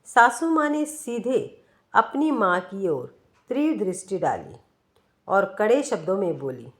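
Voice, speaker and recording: Female narrator for an emotional first-person short story, unhurried delivery, mid-range pitch, expressive measured reading.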